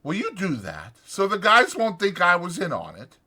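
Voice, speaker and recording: A man's voice: high voice, wildly swooping pitch, normal speed, bright, forceful tone, pitched above the performer's own voice, exaggerated voice. A man voicing a weary, resigned but protective ranch worker in an audiobook, with blunt, ungrammatical rural working-man speech. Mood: firm urgency. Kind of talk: dramatized audiobook character voice.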